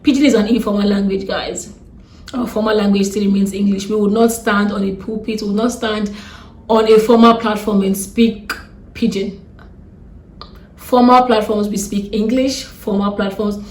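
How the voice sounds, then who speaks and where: A young woman with a strong Nigerian accent talks fast and bluntly straight to camera, fed up and defiant. Her voice sits at a middle pitch for a woman. The room around her is echoey. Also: flat delivery, a little mellow. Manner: fast-talking, blunt and exasperated. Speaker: vlogger. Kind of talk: ranting vlog to camera.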